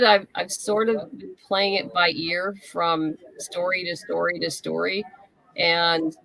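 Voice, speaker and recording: Bright-voiced woman with some creak. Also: on the low side, no room sound, poor audio. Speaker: interview guest, writer. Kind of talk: casual interview chat.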